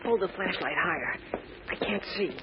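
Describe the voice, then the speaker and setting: A man's voice: high-pitched, moderate pace, lightly acted. An actor playing a nervous, cautious teenage boy sidekick in a 1940s style radio serial. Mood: uneasy. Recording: dull, very noisy old radio recording.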